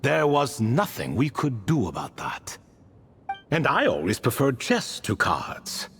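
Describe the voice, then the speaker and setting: Theatrical ancient bird king, wildly swooping pitch. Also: huge pitch swings, a little slow, fairly bright tone, slight background noise, full character voice. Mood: nostalgic, solemn. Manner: theatrical, rambling, formal storytelling. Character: proud ancient ibis king, nostalgic, easily offended sealed retainer. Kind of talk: video game character acting.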